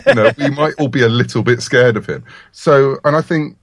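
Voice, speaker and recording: A man's voice: medium pitch, a little fast. A middle-aged British man speaking as a writer guest in a casual, chatty podcast interview.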